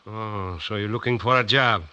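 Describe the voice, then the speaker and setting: A man's voice: on the low side, moderate pace, sharp tone, clean tone, dull audio. An actor playing a possessive, menacing bookie boss in a 1940s radio crime drama, curt and bossy like a tough guy. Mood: brisk, businesslike. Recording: vintage 1940s radio recording.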